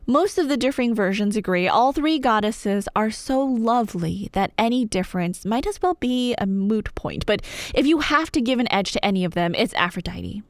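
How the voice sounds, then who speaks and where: A woman telling a scripted myth as ASMR roleplay, conversational and wry, with dramatic pauses and emphasis. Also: on the high side, dry recording, studio quality.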